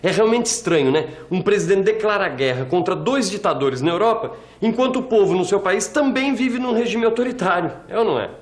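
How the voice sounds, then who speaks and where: A man's voice: high-pitched, expressive, brisk, few pauses. An actor with a Brazilian accent playing a chatty, opinionated ordinary man who explains things colloquially in an educational dialogue. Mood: explaining. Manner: colloquial, seeks agreement.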